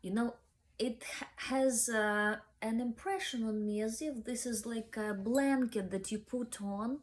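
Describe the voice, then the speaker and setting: A woman's voice: a little high, steady tone, a little slow. A young woman with a Ukrainian accent hosting a book review channel, speaking hesitantly with many fillers. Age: young adult.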